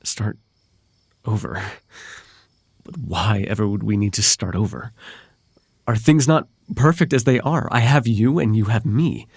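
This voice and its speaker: A man playing a possessive, lovelorn fae royal heir in an intimate ASMR roleplay, with halting, tender delivery and formal, old-fashioned wording. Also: on the low side, darkish tone, husky, no echo. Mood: desperate, pleading.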